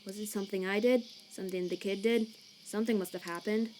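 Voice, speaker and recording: A woman's voice: mid-range voice, fairly even intonation, fast talker, a little mellow, a little hiss or hum, lightly acted. A woman voicing a laid-back male skeleton monster in a fan comic dub, casual and wry as he shrugs things off. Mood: brooding. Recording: chirping crickets and night ambience behind.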